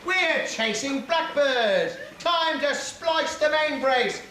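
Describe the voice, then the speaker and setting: A man's voice: very high-pitched, expressive, takes it slowly, sharp tone, heavily acted. Man playing a brash British pantomime dame, swooping voice. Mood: brash, cheeky. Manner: broad, exclamatory, plays to the audience. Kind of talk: British pantomime stage performance. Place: theatre stage, audience behind. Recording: echoey theatre hall with audience noise.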